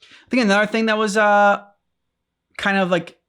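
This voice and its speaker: A man co-hosting a game reaction show, chatting casually with halting, rambling sentences full of "like" and "um". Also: high-pitched, flat delivery, sharp tone, clear, resonant tone. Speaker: co-host of a game reaction channel.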